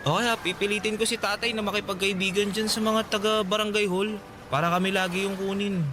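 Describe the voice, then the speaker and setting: A man's voice: high voice, flat delivery, brisk, noisy background, natural voice. A man playing an eager, earnest young carnival boy in love, in a romantic radio drama. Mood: pleading.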